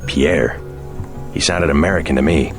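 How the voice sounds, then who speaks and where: An actor playing a terse, deadpan special agent in a video game. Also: male voice, very deep voice, moderate pace, over music. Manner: flat, deadpan.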